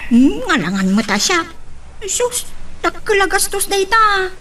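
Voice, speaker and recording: Lady as fretful gossipy filipino woman in a radio play, high voice, away from the mic. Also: sing-song, unhurried, fairly bright tone, exaggerated voice. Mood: concerned, fretful. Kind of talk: audio drama acting. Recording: distant from the microphone.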